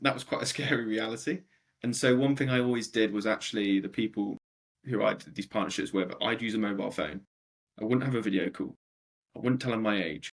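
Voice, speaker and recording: A young man in his twenties, a startup co-founder answering interview questions in a conversational way with a deep voice and frequent ums. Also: brisk, gravelly, breathy edge. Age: 20s.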